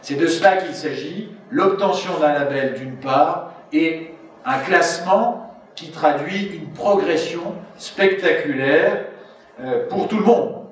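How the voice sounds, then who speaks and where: A man giving a formal public speech as a local official, in a deliberate, rhetorical way with drawn-out phrases. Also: a little high. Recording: echoey room, distant mic, faint noise.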